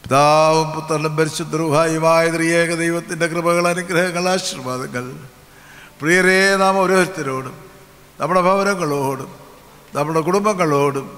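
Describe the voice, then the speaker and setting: Older bishop, slightly high voice, solemn and measured, repeating phrases, long reverb in a big tent. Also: male voice, a bit bright. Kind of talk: sermon and closing benediction. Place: large open-air convention tent. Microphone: stand mic.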